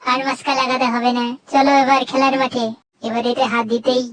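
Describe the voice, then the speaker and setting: A woman's voice: high-pitched, little pitch movement, a little fast, a bit bright, a little hiss or hum. A woman voicing a childlike robot cat companion in a cartoon dub, touchy, stubborn and scolding. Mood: bossy, confident.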